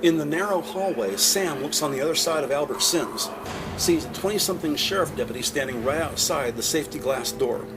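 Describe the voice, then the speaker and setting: A man's voice: on the high side, animated, fairly quick, music in the background. A man narrating a scripted audio drama in the present tense.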